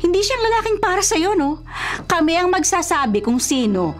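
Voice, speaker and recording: High, swooping stern Filipino mother. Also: very animated, normal speed. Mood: stern, insistent. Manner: scolding, insistent. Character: stern, controlling wealthy mother of a grown daughter. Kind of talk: Filipino radio drama acting. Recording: music bed behind the voice.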